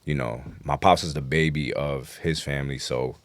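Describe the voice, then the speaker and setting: A man's voice: extremely low pitch, fairly even intonation, moderate pace. A man with a New York accent talking casually and bluntly in street slang as an interview guest. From New York City. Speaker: interview guest, rap artist.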